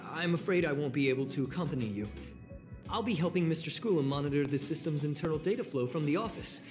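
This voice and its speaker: A woman's voice: deep voice, animated, fairly quick. A woman voicing a nervous, earnest, self-blaming young office assistant in a video game. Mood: downcast, earnest. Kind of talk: video game character dialogue. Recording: game music behind the voice.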